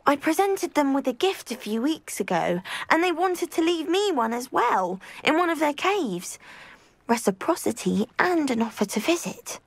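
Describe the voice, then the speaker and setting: Game role: earnest, effusive young witch, lively intonation, close dry sound. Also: high voice, normal speed, dry recording. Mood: hesitant. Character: earnest, grateful, anxious young woman with a love of diplomacy. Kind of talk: scripted game acting.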